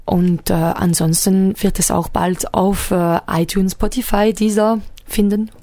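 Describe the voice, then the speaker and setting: A woman's voice: medium pitch, fairly even intonation, unhurried, dark tone, no echo. French-speaking woman talking about her album. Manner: hesitant, many fillers, careful non-native phrasing. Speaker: singer-songwriter guest. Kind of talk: radio interview.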